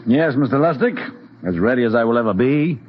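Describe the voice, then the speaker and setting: Casting: man as fatalistic spaceship scientist, 1950s radio drama. Dry irony, formal musing, lively intonation, slightly dull vintage recording. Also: medium pitch, fairly quick, lightly acted. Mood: dry, needling. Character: brooding, sardonic male scientist, fatalistic doctor aboard spaceship. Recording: muffled vintage radio recording, faint noise.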